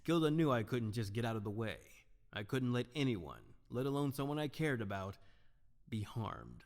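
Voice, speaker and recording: A man performs an earnest hard-boiled detective in a noir audio drama. He narrates his own life with melodramatic anguish and wide swings in pitch. A long, hall-like reverb surrounds the voice. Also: big pitch swings, moderate pace, darkish tone. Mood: grave narration.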